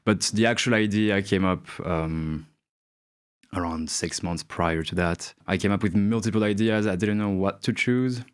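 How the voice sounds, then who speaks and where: A young man from Belgium and Chile answers interview questions in a very low voice. He talks casually, with lots of fillers and small laughs. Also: moderate pace, clean tone. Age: 20s. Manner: relaxed, giggly, many fillers. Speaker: guest.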